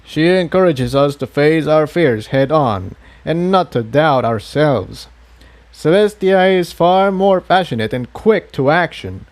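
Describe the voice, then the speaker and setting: A man voicing a kindly old unicorn priest who explains things in an earnest, measured and solemn way for a storybook reading. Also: a little high, animated, a little mellow, voice pushed up.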